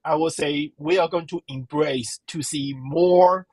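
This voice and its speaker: A slow-spoken woman gives interview answers in a husky voice. She halts often and fills the gaps while she searches for her words. The sound is dry and close. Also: deep voice, a little mellow, dry recording. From Taiwan. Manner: halting, searching for words, many fillers. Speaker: professor and guest.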